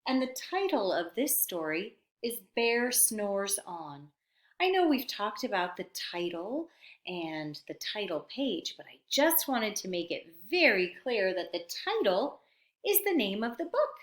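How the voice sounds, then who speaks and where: A woman reading a picture book aloud to young children in an expressive, teacherly manner, pausing to explain words. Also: medium pitch. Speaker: storytime reader for young children. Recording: roomy, echoey sound.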